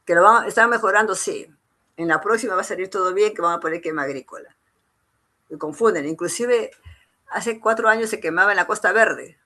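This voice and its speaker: A woman's voice: medium pitch, a little fast, sharp tone. A woman with a Peruvian accent lectures informally to students. She restates key phrases to drive them home and often closes a thought with a brief tag question. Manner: repeats phrases for emphasis, uses tag questions. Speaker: engineer giving a training lecture.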